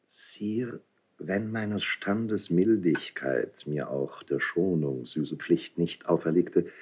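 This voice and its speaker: A man's voice: extremely low pitch, gravelly, a little mellow, breathy edge, exaggerated voice. A man acting an insinuating, obsequious royal priest in a 1970s German radio play, solemn and classical in delivery. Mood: hushed, beseeching. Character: insinuating, unctuous royal priest, feigning reluctance while accusing.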